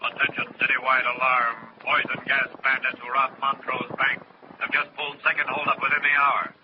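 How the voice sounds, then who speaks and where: An actor playing a terse police dispatcher reading bulletins in a formal, clipped way in a 1940s radio drama. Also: male voice, medium pitch, completely flat delivery, fairly quick, lightly acted. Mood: urgent, official. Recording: muffled, very noisy old radio recording.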